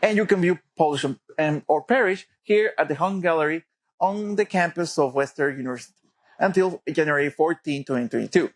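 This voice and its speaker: A young man reads a scripted news package. His voice sits somewhat high, with steady reporter delivery. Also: a little fast. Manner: read from script.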